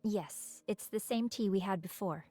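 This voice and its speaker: A woman's voice: mid-range voice, unhurried, warm tone, no room sound, lightly acted. A woman voicing a poised, inquisitive female researcher with hidden menace in a dramatic video game scene. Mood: friendly, curious. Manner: curious, probing, poised. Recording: music behind the voice.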